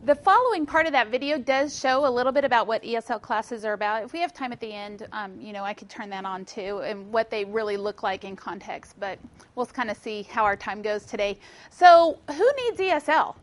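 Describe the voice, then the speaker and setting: A woman's voice: on the high side, fairly quick. A woman teacher giving an informal presentation in a conversational, storytelling way.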